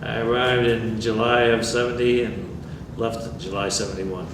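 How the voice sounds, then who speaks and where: Older guy from new york, lowish voice, plain dry answers, echoey room, mic far off, noisy. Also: level tone. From New York State. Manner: plainspoken, dry humor, measured. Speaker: veteran interviewee. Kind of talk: oral history interview.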